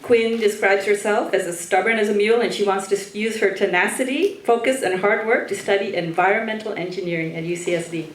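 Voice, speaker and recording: A woman's voice: mid-range voice, fairly quick, few pauses. A woman presenting awards at a ceremony, reading out short introductions of scholars in a hall. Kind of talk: semi-scripted announcing of scholars. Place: large hall with an audience. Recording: echoey hall, distant mic, faint noise.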